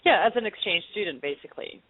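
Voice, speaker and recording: A woman's voice: medium pitch, lively intonation, fast talker, dry recording. A woman chats casually as an interview guest. Her voice comes through dry and narrow, like a phone call. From France. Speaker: actress being interviewed. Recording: tinny, phone-like narrow-band audio.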